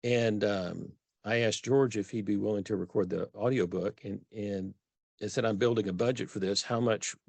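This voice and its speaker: Low-voiced Texan man, earnest. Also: steady tone, normal speed, creaky, darkish tone, no echo. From Texas. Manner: earnest, self-correcting restarts. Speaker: author guest. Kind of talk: conversational interview.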